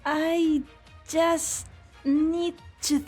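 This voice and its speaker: An amateur actress in a fan dub playing a loyal, spirited young researcher and spellcaster with an expressive, slightly sardonic way of explaining. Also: female voice, extremely high pitch, extremely slow, soft background music, exaggerated voice. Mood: exasperated, troubled. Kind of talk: amateur fan dub game drama acting.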